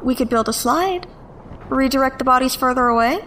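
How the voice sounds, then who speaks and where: A nervous alien lieutenant with a fairly high voice. She is deferential and hesitant, forever apologetic, in a sci-fi audio drama with faint noise behind her. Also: fairly quick, a bit bright. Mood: sheepish, eager.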